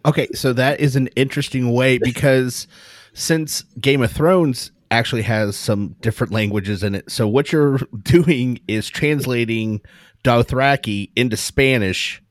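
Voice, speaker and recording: A man's voice: medium pitch, a little slow, no echo, studio quality. A man co-hosting a podcast, chatting casually and cracking mock-indignant jokes. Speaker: podcast co-host. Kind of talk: casual chat.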